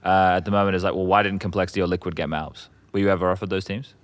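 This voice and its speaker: Fast-talking male esports host, lower voice. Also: little pitch movement. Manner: quick, teasing questioner. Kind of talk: casual esports roundtable chat.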